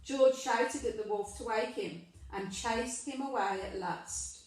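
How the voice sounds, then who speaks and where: Lady reading kids a story, takes it really slow and emphatic, super echoey, bit far from mic. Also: on the high side, darkish tone. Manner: deliberate, emphatic storytelling for young children. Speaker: primary school storyteller. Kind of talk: children's story read aloud, with questions to listeners. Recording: very echoey room, distant microphone.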